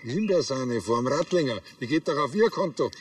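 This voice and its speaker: A man's voice: mid-range voice, animated, a little fast, lightly acted. An actor playing a dry, stubborn policeman with a Bavarian accent in a TV crime comedy. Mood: needling. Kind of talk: naturalistic TV comedy acting. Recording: echoey room, faint noise.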